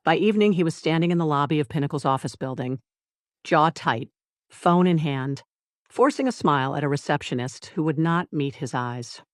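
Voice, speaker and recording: A narrator reading a scripted dramatic story in a measured, deliberate way, with short phrases and pauses. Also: medium pitch, animated, no room sound, studio quality. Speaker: audiobook narrator.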